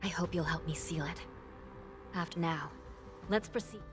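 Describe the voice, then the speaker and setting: A composed officer of the underworld, played by an actress, with a fairly low female voice. She is polite and resolute in a game story, with music clearly behind her. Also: normal speed, close to an everyday voice. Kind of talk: video game story voice acting.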